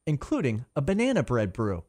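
A man's voice: mid-range voice, wildly swooping pitch, a little fast, darkish tone. A man giving scripted narration for a feature about a local cafe.

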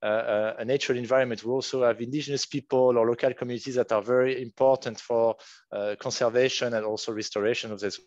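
A man in his forties with a strong French accent, moderating an online workshop over a headset on a video call, halting with many fillers and restarts. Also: medium pitch, fairly even intonation, a little mellow, dry recording. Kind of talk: online meeting facilitation. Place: home room, virtual background. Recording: dull, dry video call audio.

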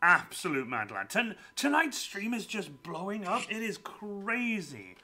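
A man hosting a live stream from a room at home, chatting casually with a guest and reading out viewer messages. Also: high-pitched. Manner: lively, chatty, quick asides to viewers. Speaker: streamer host.

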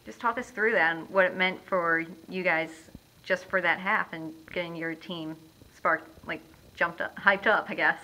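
A woman interviewer with a bright, clear voice pitched a little low. She puts upbeat, leading questions to a coach and players at a press conference. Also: steady tone, moderate pace, low-quality recording. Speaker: team media interviewer.

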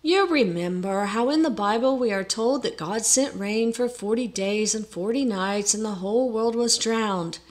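Lady doing sly young guy pretending to be super holy, slow solemn sighy storybook voice. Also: on the high side, lively intonation. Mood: saintly, patient. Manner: sighing, mock-saintly, solemn. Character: sly young man feigning saintly piety.

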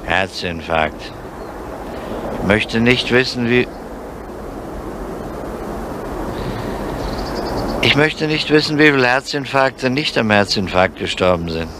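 A male actor playing a gruff, probing older police inspector in a 1970s German radio crime play, with a needling, insistent manner. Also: mid-range voice, expressive, measured pace, lightly acted. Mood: brooding. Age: middle-aged. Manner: needling, insistent, with tag questions. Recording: noisy 1970s radio recording.

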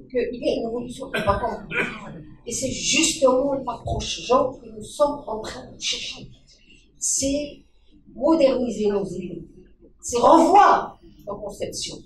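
High-voiced woman, earnest, echoey room. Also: distant mic, a little hiss or hum. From Morocco. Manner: earnest, repeating key phrases. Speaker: audience member. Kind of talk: debate contribution. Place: crowded indoor meeting room. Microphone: handheld mic.

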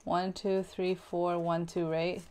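A woman's voice: on the low side, level tone, takes it slowly, darkish tone. A woman in her thirties co-hosting a live card-opening stream indoors, playful and giggly, sounding out card names and reading chat aloud. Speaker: co-host, host's wife. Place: indoor room full of card products.

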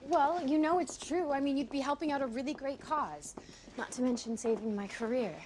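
A woman's voice: high-pitched, a little fast, darkish tone, slight background noise, close to an everyday voice, rough recording. An actress playing a perky young newspaper woman who chats and coaxes a friend into a favor. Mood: coaxing. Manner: chatty, coaxing. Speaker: newspaper staffer. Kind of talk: TV drama acting. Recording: drama audio with music score.

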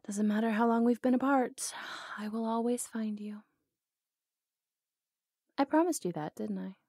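A woman's voice: medium pitch, mellow, dry recording, clean studio sound. A woman playing a bold, lovelorn outlaw cowgirl in an intimate ASMR roleplay, sardonic and flirtatious, drawing words out. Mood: smug, teasing.

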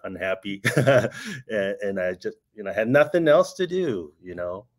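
Soft-spoken guy in his 50s, born in japan, lowish voice, kinda hesitant, video call from home. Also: sing-song, unhurried. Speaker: guest artist. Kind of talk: casual conversational interview. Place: home room, indoors.

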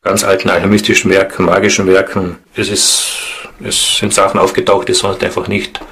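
A man explaining things spontaneously in an interview, halting and earnest. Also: low-pitched, little pitch movement, fairly bright tone. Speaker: interviewee, esoteric practitioner.

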